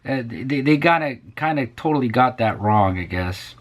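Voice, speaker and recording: A man's voice: medium pitch, expressive, moderate pace. A man hosting a casual vlog, rambling and musing to viewers, often dropping to a near whisper. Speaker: vlog host.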